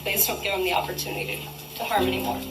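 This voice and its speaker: A woman's voice: mid-range voice, very animated, quick pace. A woman reading a prepared victim impact statement in a courtroom, formal and accusatory. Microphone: distant microphone. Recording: roomy, noisy, distant courtroom audio.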